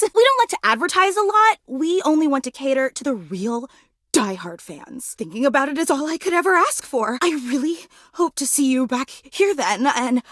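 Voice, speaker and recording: A woman's voice: sits high, a bit bright, no echo, clean studio sound. A woman voicing a giddy, starstruck electric fan in a video game, an adoring, apologetic superfan who gushes breathlessly and stammers when flustered. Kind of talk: video game character acting.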